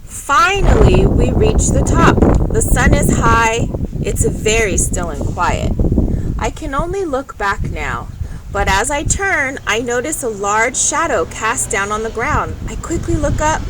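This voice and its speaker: Slow-reading woman over loud background noise. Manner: measured, expressive, pausing between short phrases. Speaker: storybook reader. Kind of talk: read-aloud of a children's story. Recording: loud steady background noise, vehicle-like rumble.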